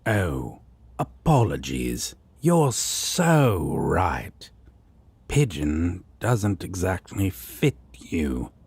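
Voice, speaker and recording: A man playing a sardonic devil roommate in a romantic audio roleplay, with a husky rasp, drawling and teasing but doting on his sweetheart. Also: sits low, very animated, drawn-out words, darkish tone, dry recording, exaggerated voice. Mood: sardonic, taunting. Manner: taunting, drawling, playfully mocking.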